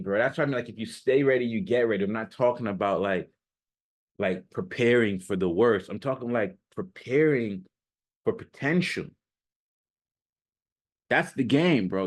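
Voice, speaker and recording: A man giving an unscripted motivational monologue as a self-improvement coach, talking in an emphatic, repetitive, slangy and casual way. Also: medium pitch.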